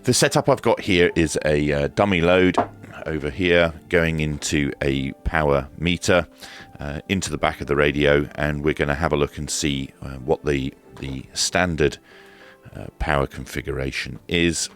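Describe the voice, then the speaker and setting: A man's voice: very deep voice, moderate pace, fairly bright tone, faint music behind. A British man giving casual, informal hands-on commentary as he presents a product review. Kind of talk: casual unboxing and demonstration commentary.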